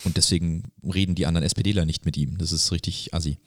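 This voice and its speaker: A man's voice: sits low, steady tone, fast, dry recording, clean studio sound. A man co-hosting a podcast in a casual, chatty way. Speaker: podcast co-host.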